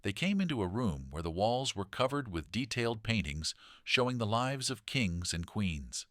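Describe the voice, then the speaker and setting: A man reading a children's story aloud in an expressive, dramatic storytelling manner. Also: on the low side, normal speed, dry recording, studio quality. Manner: dramatic, animated delivery.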